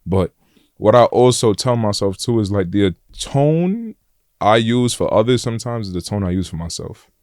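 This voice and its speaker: A young man with a New York accent and a deep voice, talking bluntly and casually as a podcast guest, full of slang and "like" and "bro". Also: big pitch swings, normal speed, darkish tone, no room sound, clean studio sound. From Flatbush, Brooklyn, New York. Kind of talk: casual conversation.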